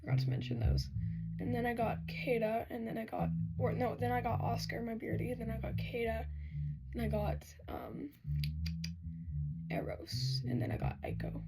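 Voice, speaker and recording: A young woman with a hoarse, raspy voice she says she has lost, rambling casually through a pet Q&A vlog at home with lots of ums and apologies. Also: wildly swooping pitch, normal speed, poor audio. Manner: rambling, self-correcting, many "um"s, apologetic. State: hoarse, losing her voice. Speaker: pet vlogger answering questions. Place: bedroom at home. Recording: home recording with loud bass music behind. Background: loud bass guitar playing.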